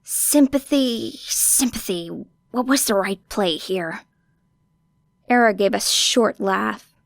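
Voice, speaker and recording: A woman's voice: medium pitch, slow, gravelly, dry recording. A woman reading a story expressively as an audiobook narrator. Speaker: audiobook reader. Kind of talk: expressive scripted fiction narration.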